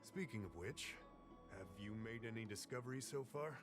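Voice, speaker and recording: An actor playing a polite, earnest male civil servant in a fantasy video game, speaking in a formal, measured way. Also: medium pitch, expressive, close to an everyday voice. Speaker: game character, government official. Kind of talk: scripted video game dialogue. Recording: game music behind the voice.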